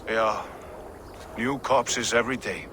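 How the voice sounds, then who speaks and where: A man's voice: fairly low pitch, slow pace, background noise. An actor playing a grim, watchful man guarding a city in a video game, speaking in terse, wry phrases. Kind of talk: video game cutscene acting.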